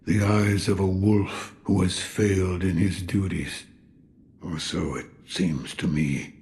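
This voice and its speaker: Game role: weary old hermit sculptor, sardonic, slow-spoken, deep gravel. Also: male voice, steady tone, a little mellow. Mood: grim, musing. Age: older. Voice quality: gravelly, low. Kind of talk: video game cutscene acting.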